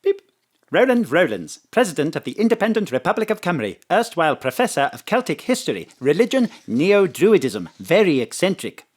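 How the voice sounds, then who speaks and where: Audio drama robot assistant, polite, literal, clipped list-reading delivery with swings in pitch. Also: very animated, a little fast. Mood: flat, informative. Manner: clipped, formal, reciting in list-like phrases. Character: polite, literal-minded robotic car investigator assistant reciting files.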